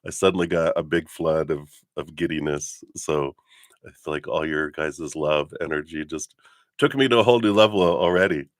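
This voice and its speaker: A man with a low morning voice hosting a live spiritual reading stream, chatting casually in a giddy, effusive way. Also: moderate pace, no echo, studio quality. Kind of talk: casual livestream chat with meditation guidance.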